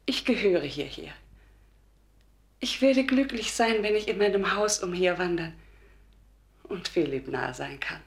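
An actress playing the humble, ashamed spirit of a dead wife in a German radio play, earnest and subdued. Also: female voice, on the low side, expressive, a little slow, gravelly, long pauses. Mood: quiet refusal.

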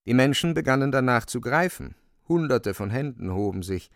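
A man's voice: medium pitch, lively intonation, moderate pace, dry recording, clean studio sound. A man narrating a literary radio drama in a dramatic storytelling style.

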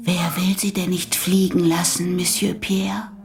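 An actress playing a brisk, probing female psychiatrist with a matter-of-fact questioning manner in a German radio play. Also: on the low side, flat delivery, crowd noise.

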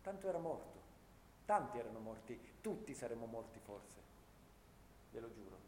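Actor as Paolo, haunted young soldier, echoing room. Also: male voice, sing-song, normal speed, a little mellow, close to an everyday voice. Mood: subdued. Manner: earnest, literary narration. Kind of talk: dramatic radio theatre monologue. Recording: echoey reverberant room, low rumble.

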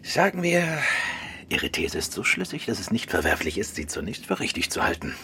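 Low-voiced, composed male detective. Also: sing-song, fairly quick, pushed and bright, slight background noise, full character voice. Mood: thoughtful, measured. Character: shrewd, composed male amateur detective, husband. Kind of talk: German radio drama acting.

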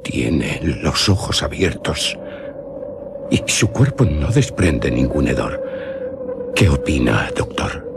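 A grim, formal official in a gothic radio play, with a Spain accent. His deep voice is slow, with wide swings in pitch. Loud music plays behind. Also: big pitch swings, vocal fry, full character voice. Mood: solemn, grim. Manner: formal, grave. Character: solemn official inspecting a vampire's corpse. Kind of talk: radio drama acting.

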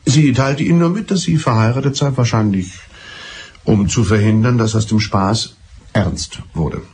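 An actor in a German radio drama playing a mild, evasive, smugly clever betrayed husband who talks in a deliberate, circuitous way. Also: male voice, medium pitch, a little mellow, a little hiss or hum, close to an everyday voice. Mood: wistful, matter-of-fact.

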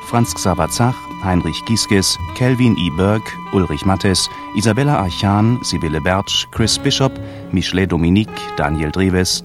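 A man reading out scripted credits as a radio announcer. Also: sits low. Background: music.

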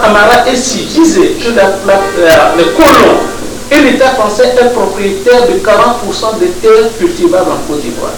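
A man from West Africa giving an informal talk to an audience, halting, with many restarts and fillers. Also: sits high. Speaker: speaker at a public discussion. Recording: distant mic in an echoey room with noise.

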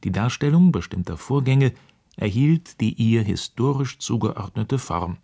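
A man reciting quoted passages slowly and weightily in a radio documentary. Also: medium pitch, lively intonation, warm tone, slightly rough. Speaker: voice reading quotations. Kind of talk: scripted reading of quoted text.

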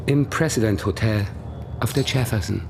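A man's voice: fairly low pitch, normal speed, gravelly, background noise. An actor playing a coy, evasive male witness under police questioning in a German radio crime drama, by turns dreamy and defensive. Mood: guarded.

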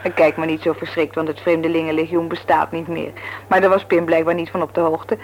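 A woman's voice: low voice, fairly quick. An actress playing a breezy, self-assured, teasing young woman in a vintage radio serial. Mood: reassuring. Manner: chatty, teasing, expressive. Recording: noisy old radio drama recording.

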